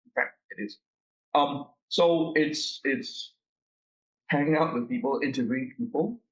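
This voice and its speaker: A man in an interview, talking through his research in a halting way, often restarting and repeating words. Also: fairly high pitch, lo-fi sound. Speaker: researcher guest. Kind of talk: conversational interview.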